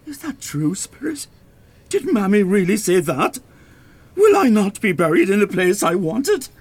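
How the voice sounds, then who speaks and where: A cranky old irish widower, husky high voice swinging all over, radio play, desperate and pleading. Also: male voice, sing-song, measured pace, darkish tone, no echo, heavily acted. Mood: anguished. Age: older. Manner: pleading, indignant, hesitant. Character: dead old Irish widower, cantankerous, desperate, self-pitying.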